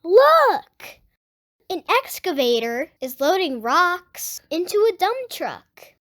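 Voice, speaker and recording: A child host reading a picture book aloud for young listeners, addressing them directly in an excited way. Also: very high-pitched, takes it slowly. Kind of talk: storybook read-aloud with enthusiastic channel intro and sign-off.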